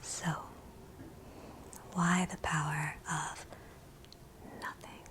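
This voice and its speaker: A woman whispering a scripted ASMR guided meditation in a breathy, gentle, soothing way, leaving long pauses between short phrases. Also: sits low, level tone.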